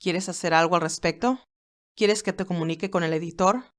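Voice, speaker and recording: Woman reading as a low-pitched, formal business aide. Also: fairly quick, lightly acted. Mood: concerned, probing. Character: George, loyal formal male business aide, concerned and probing. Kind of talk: audio story dialogue.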